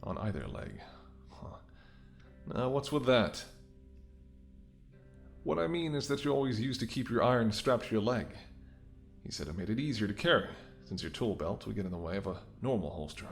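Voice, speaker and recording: A man's voice: fairly low pitch, sing-song, moderate pace, creaky, long pauses, soft background music. A man playing a menacing, smirking mercenary ex-bodyguard in an audio roleplay, with a taunting, sardonic way of talking. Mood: suspicious, realizing. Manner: taunting, sardonic, swearing, drops to whispers. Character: menacing, smirking male mercenary ex-bodyguard holding someone at gunpoint. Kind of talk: dramatic audio roleplay spoken to the listener.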